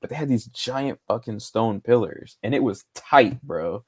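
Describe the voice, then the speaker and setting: A young man co-hosting a podcast, telling a casual, slangy story with lots of swearing, his voice going hoarse. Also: medium pitch, expressive, a little slow. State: losing his voice. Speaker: podcast co-host. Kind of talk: casual chat.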